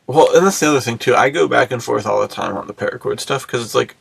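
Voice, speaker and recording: Man, mid-pitched, intense vocal fry, husky, jokey slangy podcast banter. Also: quick pace. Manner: jokey, slangy, often chuckling. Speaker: podcast co-host.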